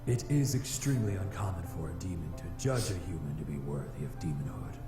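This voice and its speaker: A man's voice: sits low, lively intonation, a little fast, dark tone. A man playing a solemn, aloof fire demon in a visual novel, speaking in formal, stiff, haughty phrases. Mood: aloof, explanatory. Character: solemn male fire demon, vengeful, aloof, easily flustered. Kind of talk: visual novel character acting. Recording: echoey reverb with music behind.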